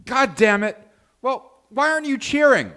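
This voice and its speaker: A woman's voice: sits high, big pitch swings, normal speed, a bit bright. A woman playing a competitive, easily wounded bowler in a live comedy table read, indignant and urging herself on. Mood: angry. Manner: indignant, self-coaching.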